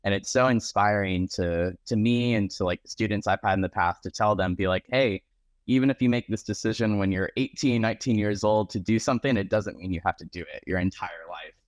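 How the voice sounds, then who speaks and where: A man's voice: fairly low pitch, fairly quick, no echo. A man hosting a casual interview, affirming his guest and laughing often.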